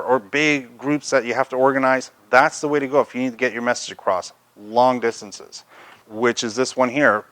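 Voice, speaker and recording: A man's voice: medium pitch, fairly bright tone, clean tone, no room sound. Man explaining products in a dry-sounding space. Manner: explanatory, conversational. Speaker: presenter of a product review. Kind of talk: unscripted product demonstration.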